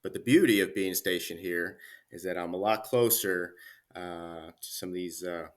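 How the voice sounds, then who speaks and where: A man in his thirties, a guest chatting casually in an interview, relaxed with many fillers and restarts. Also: deep voice, normal speed. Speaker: Army career manager guest.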